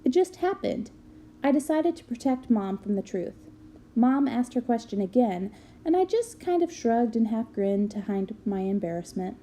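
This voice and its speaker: A woman reading a book aloud as an expressive narrator. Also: on the high side, moderate pace, slight background noise. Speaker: reader of a children's book aloud.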